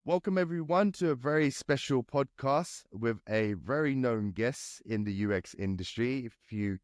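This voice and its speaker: A man's voice: mid-range voice, normal speed, no echo, studio quality. A man hosting a podcast, speaking in a friendly, teasing way with some hesitation. His voice is slightly nasal from a cold. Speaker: podcast host.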